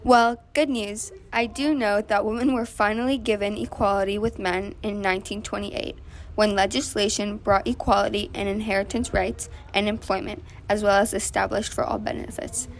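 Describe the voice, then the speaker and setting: Woman playing an earnest 1920s suffragist guest, mid-pitched. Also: steady tone, moderate pace, slight background noise, lightly acted. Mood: earnest, pleased. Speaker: guest activist. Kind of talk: scripted amateur 1920s radio interview.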